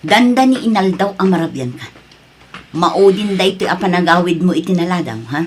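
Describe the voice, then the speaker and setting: A woman's voice: lowish voice, animated, a little fast, slight background noise, lightly acted. A woman with a Filipino accent playing a suspicious, worried, strict mother in a family drama. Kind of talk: Filipino radio-style family drama acting.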